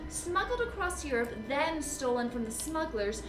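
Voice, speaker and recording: Woman playing a high-voiced, flighty, vain film starlet. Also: expressive, normal speed. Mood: haughty. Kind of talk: comic noir audio drama acting. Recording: roomy echo, music underneath.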